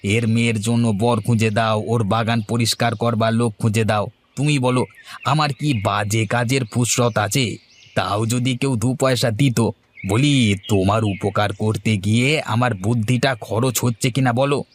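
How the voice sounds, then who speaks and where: A man's voice: medium pitch, steady tone, unhurried, a little hiss or hum, close to an everyday voice. A man voicing a crafty, self-important village advisor in a cartoon, boastful and cajoling, with rhetorical questions. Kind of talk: cartoon voice acting.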